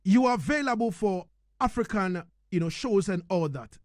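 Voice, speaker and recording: High-pitched man hosting radio. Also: animated, normal speed, no room sound, clean studio sound. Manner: effusive, slangy, repeats phrases. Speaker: radio host interviewing a musician. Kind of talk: casual, enthusiastic radio interview.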